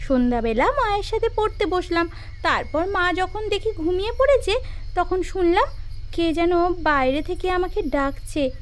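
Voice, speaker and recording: A woman voicing an excited, innocent little girl with a childlike, eager, sing-song way of talking in an animated story. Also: very high-pitched, normal speed. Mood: earnest. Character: excited innocent little village-house girl, chatty daughter. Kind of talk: animated ghost story dialogue.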